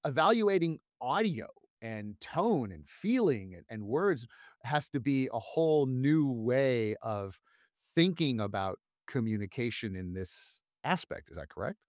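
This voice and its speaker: A man's voice: medium pitch, sing-song, a little slow, no echo, clean studio sound. A man hosting an interview, asking the guests questions in a casual, inquisitive way. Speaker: host.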